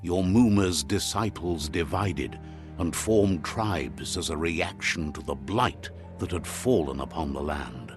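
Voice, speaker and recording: A man narrating a game's story calmly, like a storybook, and relaying what a creature says. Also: deep voice, takes it slowly. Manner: calm storyteller, translating a creature's speech. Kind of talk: scripted storybook narration for a game. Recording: game audio with faint music behind.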